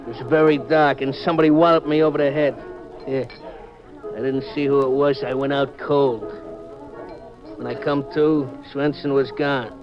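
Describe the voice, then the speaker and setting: A tough, cagey waiter from a waterfront dive played by a man in a fifties radio crime show. He talks in slangy wise-guy style at a middling pitch, on a dull vintage recording. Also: fairly even intonation, moderate pace, music in the background, natural voice. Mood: confiding, gruff. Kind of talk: 1950s radio drama acting.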